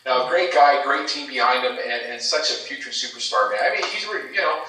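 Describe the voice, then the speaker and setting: A man's voice: mid-range voice, expressive, a little fast, sharp tone. A man, a guest and former fight manager, chatting casually and effusively about sports in a rambling way. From Canada. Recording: echoey, distant from the mic.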